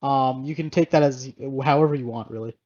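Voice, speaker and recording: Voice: medium pitch, a little fast, darkish tone. A young adult podcast co-host chatting casually in a rambling, hedging way. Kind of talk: casual chat. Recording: dull lo-fi audio.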